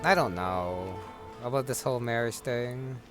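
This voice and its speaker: A man's voice: medium pitch, a little slow. A man giving casual gameplay commentary, musing in a dry, offhand way. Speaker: gamer commentator. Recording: game music behind.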